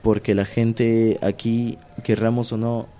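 A man's voice: lowish voice, flat delivery, slow, mellow. A Peruvian man, a pro wrestler being interviewed, talking casually and animatedly with lots of slang and fillers. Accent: Peruvian Spanish. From Peru.

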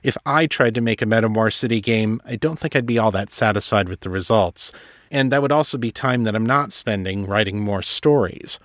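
A man hosting a solo podcast, reading and answering listener letters in an articulate, measured, explanatory way. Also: on the low side, fairly bright tone, no echo, clean studio sound. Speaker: podcast host and novelist.

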